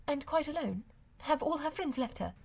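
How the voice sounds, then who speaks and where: Woman playing a spirited, high-voiced young lady. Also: animated, normal speed, gravelly, a little mellow. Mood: curious. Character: spirited, witty young woman, frustrated and teasing. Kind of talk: audiobook character acting of a classic novel.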